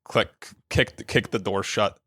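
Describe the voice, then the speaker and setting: A man's voice: lowish voice, steady tone, slow, bright, forceful tone, dry recording, clean studio sound. A man playing in a tabletop game, playfully describing his character's actions and mouthing sound effects. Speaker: podcast guest, player.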